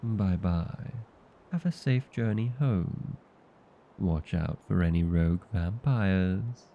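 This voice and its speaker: Man playing a deep, gravelly, genteel old vampire. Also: dull audio, a little hiss or hum. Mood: polite, friendly. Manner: genteel, formal, unhurried. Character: courteous, proud old male vampire being interviewed. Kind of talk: scripted comedic audio roleplay.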